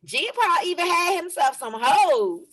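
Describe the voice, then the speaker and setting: An actress at a table read playing a brash, slangy young granddaughter. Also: female voice, very high-pitched, big pitch swings, slow pace, a bit bright, exaggerated voice. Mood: sassy. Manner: sassy, slangy.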